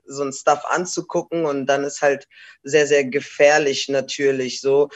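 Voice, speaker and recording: A woman's voice: very deep voice, normal speed. Woman rapper, interview guest; laid-back, slangy, code-switches. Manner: relaxed, slangy, mixes in English phrases. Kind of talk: casual interview chat.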